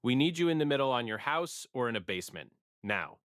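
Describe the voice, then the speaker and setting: A man's voice: medium pitch, sing-song, fairly quick, fairly bright tone, clean tone, no echo. Dry-sounding, urgent man as TV meteorologist. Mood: urgent, serious. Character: urgent male TV meteorologist tracking a dangerous storm. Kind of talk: live weather coverage.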